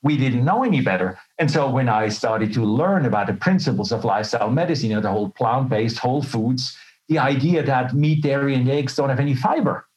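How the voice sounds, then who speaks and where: A man's voice: medium pitch, lively intonation, a little fast, darkish tone, poor audio. Self-deprecating middle-aged man from Switzerland. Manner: self-deprecating, colloquial, candid. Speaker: interview guest, health professional. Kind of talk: conversational personal storytelling.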